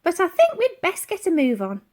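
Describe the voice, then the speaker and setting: A woman's voice: extremely high pitch, huge pitch swings, moderate pace, a little mellow, pitched above the performer's own voice, exaggerated voice. A woman voicing a flustered, kindly, slightly dim older lady in a children's story, with fluttery exclamations. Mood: fussy.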